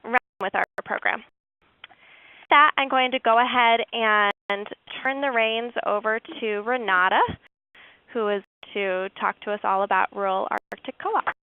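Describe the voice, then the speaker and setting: A woman's voice: on the high side, a little slow, smooth, no echo. Woman webinar host on a tinny line. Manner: steady, informative, occasional fillers. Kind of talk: webinar presentation. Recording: dry tinny phone-like line.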